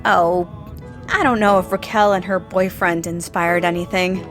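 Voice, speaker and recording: A woman's voice: fairly low pitch, steady tone, unhurried, fairly bright tone, soft background music. An actress playing a sheepish, sentimental woman in an audio drama. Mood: sheepish, fond.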